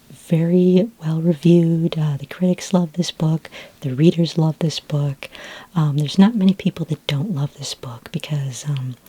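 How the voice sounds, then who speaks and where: A woman plays a friendly librarian in a soft-spoken ASMR roleplay. Her voice is low and very mellow, mostly a breathy whisper. She explains things gently and helpfully, with a slightly hesitant delivery. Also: dry recording. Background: computer keyboard typing.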